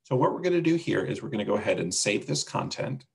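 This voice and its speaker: A man leads a casual software demonstration over a video call. His voice is on the low side with noticeable vocal fry. He explains each step in a plain, instructional way. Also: very animated, fast talker. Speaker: webinar presenter demonstrating a website.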